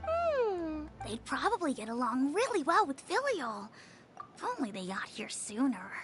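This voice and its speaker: A woman's voice: wildly swooping pitch, moderate pace, soft background music. A woman voicing a small, chirpy, childlike fairy companion in an anime game. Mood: musing. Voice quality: squeaky, childlike. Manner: exclaiming, chirpy, candid.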